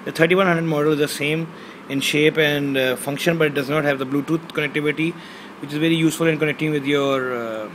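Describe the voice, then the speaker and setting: A man's voice: fairly high pitch, steady tone, fast talker, a bit bright, a little hiss or hum. A man, an Urdu speaker, casually unboxing a product on a handheld phone camera indoors, often hesitating with fillers. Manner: hesitant, many fillers, reads box labels aloud. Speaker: unboxing reviewer. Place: indoors, small bedroom.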